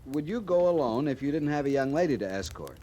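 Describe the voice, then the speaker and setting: Earnest, very expressive young lieutenant. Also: male voice, on the high side, fast talker. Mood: earnest, hesitant. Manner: hesitant, earnest, deferential. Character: eager, awkward, polite young cavalry lieutenant. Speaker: junior cavalry officer. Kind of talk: old-time radio western acting. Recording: noisy old radio recording.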